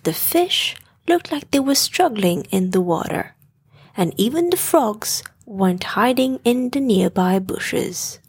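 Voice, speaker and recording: A woman narrating a scripted bedtime story in a soft, gentle storytelling manner. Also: on the high side, animated, takes it slowly, slightly rough. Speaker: storyteller, podcast host.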